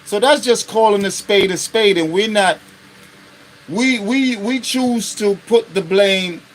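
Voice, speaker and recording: A man's voice: high voice, slow pace, a little hiss or hum, rough recording. Blunt, profane man in his fifties. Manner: blunt and profane, with constant rhetorical check-ins. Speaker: live-stream host. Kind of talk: unscripted live monologue.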